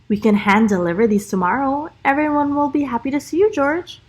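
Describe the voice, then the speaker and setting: A kindly, patient man from a children's storybook, read by a woman in a high, singsong voice. He sounds gentle and encouraging, like a guardian talking to a small pet. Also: brisk, lightly acted. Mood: warm, encouraging.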